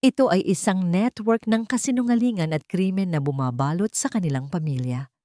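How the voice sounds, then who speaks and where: Low-voiced Filipino woman narrating drama, dry sound. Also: fairly quick, warm tone, no echo. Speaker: story narrator. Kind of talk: dramatic scripted story narration.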